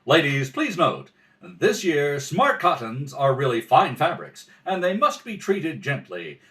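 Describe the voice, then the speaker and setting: A man's voice: a little high, very animated, measured pace, a bit bright. A man playing a courteous old-time radio announcer, delivering scripted lines in a presentational manner. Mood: selling. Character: polite, folksy radio announcer selling soap flakes.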